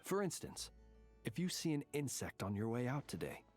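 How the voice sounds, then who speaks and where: A courteous, solemn gentleman from a video game, played by a man, speaks in ornate, measured phrases. His voice sits at a middling male pitch, with faint music behind it. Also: sing-song, close to an everyday voice. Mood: solemn, thoughtful. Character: courteous, solemn gentleman who lived alone in a cemetery. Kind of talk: scripted video game character dialogue.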